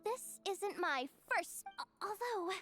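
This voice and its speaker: A woman voicing an excitable, boastful little girl in a video game. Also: very high-pitched, takes it slowly, faint music behind, exaggerated voice. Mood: smug, flustered. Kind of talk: video game character acting.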